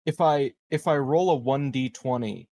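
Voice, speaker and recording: Casual male gamer, mid-pitched voice. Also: normal speed, warm tone, dry recording. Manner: informal, bantering with the game master. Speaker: tabletop game player. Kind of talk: casual game-table chat.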